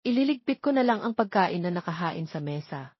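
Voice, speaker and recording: A woman's voice: lowish voice, very animated, a little fast, husky, no echo, highs cut off. A woman with a Filipino accent voicing a caring, protective middle-aged mother in an audio drama. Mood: concerned.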